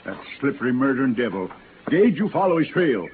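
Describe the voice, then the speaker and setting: Guy doing a gruff bossy frontier sheriff, scornful, crackly old radio western sound. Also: mid-range voice, big pitch swings, a little fast, lightly acted. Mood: scornful, stern. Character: hard, vengeful male Western sheriff, scornful and commanding. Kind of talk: 1950s Western radio drama acting. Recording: scratchy, noisy, narrow old radio recording.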